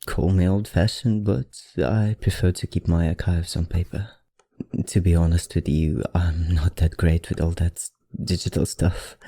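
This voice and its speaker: ASMR roleplay: male actor as a centuries-old vampire librarian, husky and close-miked dry, old-fashioned courtesy, softly teasing, affected accent. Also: sits low, no echo. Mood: genial, proud. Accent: put-on, not his own. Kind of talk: ASMR roleplay, addressing the listener.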